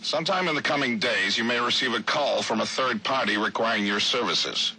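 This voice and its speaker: Menacing crime boss, gravelly, very sharp, formal, precise, commanding, video game villain. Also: male voice, mid-range voice, a little fast, heavily acted, low-quality recording. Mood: cold, commanding. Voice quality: gravelly, raspy. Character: cold, menacing male crime boss, controlled. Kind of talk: video game audio log acting.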